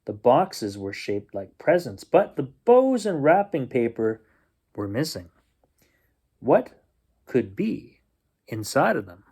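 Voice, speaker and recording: A man reading a children's picture book aloud as a bedtime storyteller, expressively and with drawn-out suspense. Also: medium pitch, sing-song, darkish tone, slightly rough.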